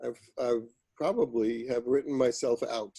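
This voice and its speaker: A man, a novelist, talking casually and haltingly on a group video call. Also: medium pitch, steady tone, dark tone, slight background noise. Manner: hesitant, halting, wry.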